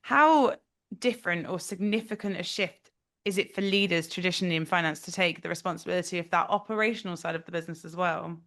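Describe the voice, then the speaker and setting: A woman hosting a podcast interview, who affirms her guest and asks questions with quick laughs. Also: on the low side, a bit bright. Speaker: podcast host.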